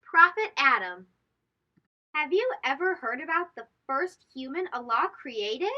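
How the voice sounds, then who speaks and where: A woman with a high voice reads a storybook aloud for children. Her intonation is very animated, with emphatic phrasing and questions aimed at young listeners. The recording is a little dull. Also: a little slow. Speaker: host of a children's read-aloud show.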